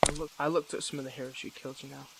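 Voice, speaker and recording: A man playing a weary, defiant young superhero in a dramatic audio roleplay, speaking haltingly and broodingly to the listener. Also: medium pitch, flat delivery, gravelly, heavy background noise, close to an everyday voice. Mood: bitter, reflective.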